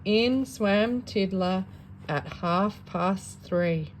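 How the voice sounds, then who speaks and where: Woman, animated bedtime-story reader, sing-song delivery with dramatic pauses, noticeable background noise. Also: medium pitch, low-quality recording. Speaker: parent reading a bedtime story. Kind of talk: expressive children's storybook read-aloud.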